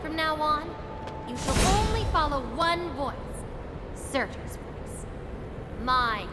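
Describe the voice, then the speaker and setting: A fierce young swordswoman with a fairly high voice talks boldly and confidently. Her lines ring with long reverb over clear game music. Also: animated, slow. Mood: commanding. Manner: bold, commanding, teasing. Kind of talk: game voice acting.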